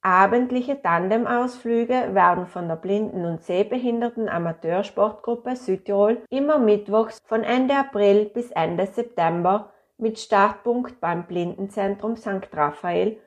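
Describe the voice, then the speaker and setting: A woman reading a calendar of events aloud in a slow, measured way. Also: mid-range voice. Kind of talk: scripted reading of announcements.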